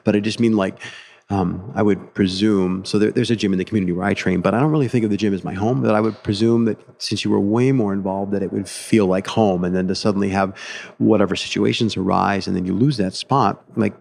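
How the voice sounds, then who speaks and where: A man's voice: fairly low pitch, fairly even intonation, quick pace, gravelly, darkish tone. A man interviewing a guest casually, stammering and rambling through his questions while getting over the flu. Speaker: podcast host.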